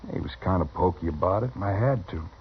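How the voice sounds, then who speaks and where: Proud cold gunslinger, deep voice, matter-of-fact menace, vintage radio western, hissy recording. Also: male voice, expressive, fast, muffled recording. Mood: cool, untroubled. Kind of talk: old-time radio western acting.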